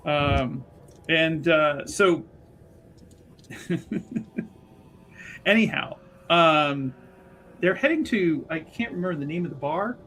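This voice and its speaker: A man's voice: fairly high pitch, lively intonation, slow pace, long pauses, fairly bright tone, a little hiss or hum. A man playing a tabletop roleplaying game, chatting casually and agreeably as he improvises bits of the story. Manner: chatty, agreeable, often echoing the other speaker.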